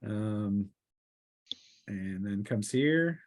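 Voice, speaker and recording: Man running a tabletop game, halting delivery. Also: fairly low pitch, animated. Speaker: game master. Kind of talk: narrating a tabletop role-playing game, improvised.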